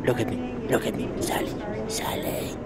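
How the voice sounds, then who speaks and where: A man's voice: normal speed, background noise, natural voice. A man playing a muddled, eccentric ship's doctor who dithers dreamily, in a sci-fi audio drama. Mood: loopy, coaxing.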